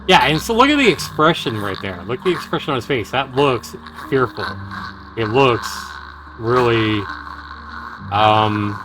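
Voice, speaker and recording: A man live-streaming a visual novel, reading it aloud in a dramatic, deliberate way with casual commentary. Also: medium pitch, noisy background. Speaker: game streamer.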